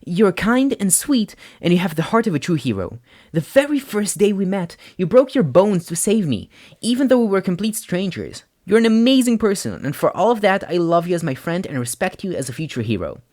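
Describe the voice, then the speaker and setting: A man voicing a kind, frank teenage girl with an earnest, deliberate way of talking in an audio story. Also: high voice, very animated, a little mellow, voice pushed up. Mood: sincere, serious.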